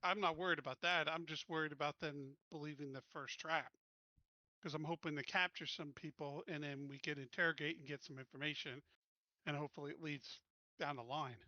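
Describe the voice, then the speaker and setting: Higher-voiced man, bright and forward. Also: steady tone, a little fast, no echo, dull audio. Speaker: tabletop game player. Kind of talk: casual tabletop game discussion.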